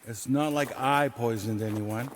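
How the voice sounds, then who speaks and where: A man's voice: medium pitch, unhurried, slight background noise, close to an everyday voice. A man playing a sardonic, evasive, pragmatic trader in a post-apocalyptic audio drama. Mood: wry, defensive.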